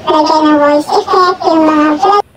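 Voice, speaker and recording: A woman as a tiny girlish Filipino voice, squeakily high from a voice changer filter. It comes through a very noisy app playback. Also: measured pace. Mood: processed, instructional. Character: app-made girl, woman and baby voices. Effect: pitched-up voice changer filter.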